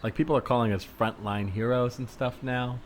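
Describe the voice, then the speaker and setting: A man playing an earnest, mild-mannered hospital worker out jogging in an improvised comedy scene, speaking casually in a halting, tentative way. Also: mid-range voice, steady tone, lightly acted. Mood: earnest, proud. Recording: background noise of outdoor soundscape. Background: added outdoor ambience soundscape.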